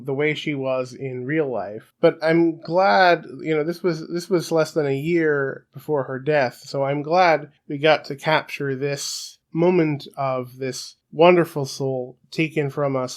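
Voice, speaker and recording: Man, 30s, high boyish voice, chatty host, self-deprecating, casual solo talk. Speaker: puppeteer host. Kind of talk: casual solo video chat.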